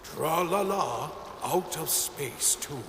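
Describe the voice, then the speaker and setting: A serene, riddling ferryman who drifts through his words at an unhurried pace, as a man puts him on. His sing-song lines rise and fall with a lot of movement. The recording has faint background noise. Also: a little high, expressive, fairly bright tone, exaggerated voice. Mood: mysterious. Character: cryptic hooded boat ferryman, serene and riddling. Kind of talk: fan comic dub.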